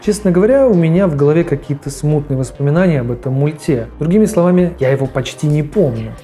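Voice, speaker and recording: A man's voice: on the high side, quick pace, warm tone, faint music behind. A man giving scripted video narration as host, mixed with his own personal commentary on old cartoons.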